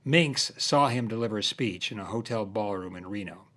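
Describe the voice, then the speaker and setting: A man's voice: fairly low pitch, normal speed, a bit bright. A man telling a story in a conversational documentary style.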